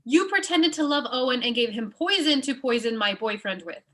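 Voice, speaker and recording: Lady playing an exasperated bossy gal, stern comedy read, highish bright forceful voice. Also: lively intonation, moderate pace.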